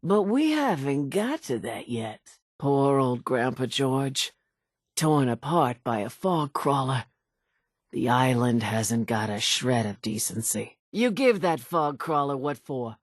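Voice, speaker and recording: A woman's voice: extremely low pitch, big pitch swings, slow, no room sound, clean studio sound, heavily acted. An actress playing an older island woman in a game, a folksy, dramatic storyteller who mourns her family and wants vengeance. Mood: coy, reminiscing, then grieving, bitter. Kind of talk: scripted game character dialogue, theatrical storytelling.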